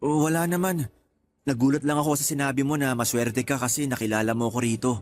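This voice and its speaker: An actor playing a quiet, serious male office worker in a Tagalog radio drama, restrained and matter-of-fact. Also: mid-range voice, fairly even intonation, fast, natural voice. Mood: hesitant.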